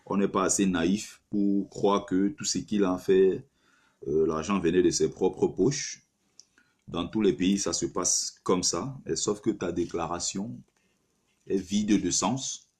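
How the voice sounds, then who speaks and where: A man's voice: low-pitched, fairly even intonation, slow, a little mellow, breathy edge. A man hosting a live stream, giving opinionated commentary and arguing his points while speaking straight to his listeners. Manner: argumentative, rhetorical, addresses listener directly. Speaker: livestream host taking callers.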